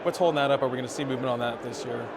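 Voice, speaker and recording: A man's voice: mid-range voice, steady tone, rapid-fire. A man working as a TV reporter, doing live interviews in a polite, informal way. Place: large indoor statehouse lobby. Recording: noisy public lobby ambience.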